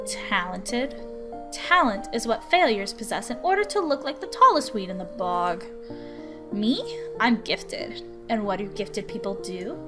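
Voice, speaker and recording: A woman's voice: medium pitch, expressive, measured pace, music in the background, pitched below the performer's own voice, close to an everyday voice. A woman voicing an arrogant, dismissive, gifted girl prodigy in a visual novel. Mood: smug. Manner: smug, boastful, dismissive. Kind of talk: visual novel character reading.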